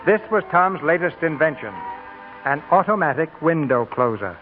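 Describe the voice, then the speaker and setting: An American man hosting and narrating a scripted 1940s radio theater show in a formal, measured way. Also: on the high side, animated, narrow, tinny audio. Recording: thin old radio broadcast, music behind.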